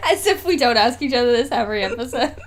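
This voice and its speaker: A woman's voice: a little high, fairly bright tone. A woman co-hosting a podcast in casual banter, with a playful, teasing, slangy way of talking. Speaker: podcast co-host.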